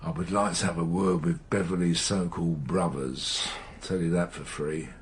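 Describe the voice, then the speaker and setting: An older man, an actor playing a weary, brooding veteran police detective with a dry, measured, probing manner in a radio drama. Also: sits low, fairly even intonation, slightly rough. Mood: brooding, intense. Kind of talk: naturalistic radio drama acting.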